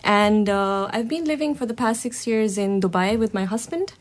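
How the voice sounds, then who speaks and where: A woman's voice: mid-range voice, moderate pace. A woman raised in Libya, a radio guest talking casually about herself with frequent ums. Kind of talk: casual interview chat.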